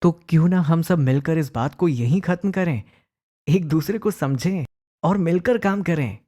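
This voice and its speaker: A man playing a concerned, diplomatic adult son, earnest and measured, in an animated family drama. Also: fairly high pitch, voice pushed up.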